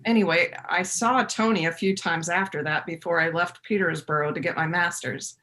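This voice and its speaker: A woman's voice: lowish voice, fairly even intonation, moderate pace, bright, forceful tone. A woman playing a reflective therapist who looks back on her younger self in a readers theater monologue. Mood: reflective, rueful.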